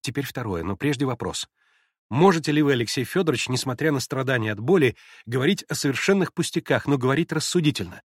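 A man reading aloud, voicing an excitable, imperious young invalid girl who gives urgent commands. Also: medium pitch, fast, husky, no echo, studio quality.

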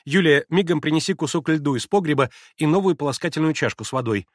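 A man's voice: a little high, lively intonation, quick pace, no echo, pitched above the performer's own voice, natural voice. An imperious, capricious young invalid girl barks out breathless orders in a raised, pushy voice, as a male reader puts her on. The delivery is dry and close, with no room around it. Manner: urgent, commanding, breathless.

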